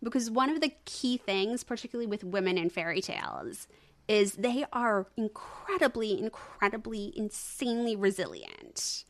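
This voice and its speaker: A woman's voice: medium pitch, very animated, normal speed. A woman in her thirties or older speaking as a podcast guest in a conversational, opinionated way, stressing points by repeating words. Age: 30s or older. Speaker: researcher and analyst. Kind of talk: conversational discussion.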